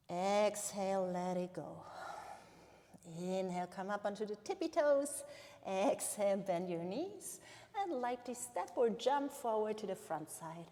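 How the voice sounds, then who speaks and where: The calm woman at the front of a big echoing yoga studio, Swiss German accent from Bern, cueing each pose and breath for a live class. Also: medium pitch. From Switzerland. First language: Bernese Swiss German. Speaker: yoga instructor. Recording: long reverberant hall-like echo.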